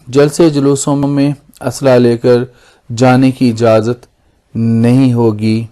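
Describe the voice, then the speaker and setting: Mellow, even-toned Pakistani man explaining. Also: medium pitch. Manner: formal, repeats and rephrases points. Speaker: lawyer presenting legal explainer videos. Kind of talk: explanatory lesson, semi-scripted.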